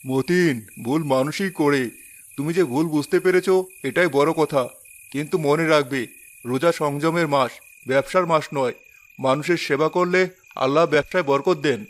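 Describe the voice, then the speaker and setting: A man voicing a kind village leader in a cartoon, speaking in a calm and measured way. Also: on the high side, close to an everyday voice. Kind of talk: cartoon voice acting.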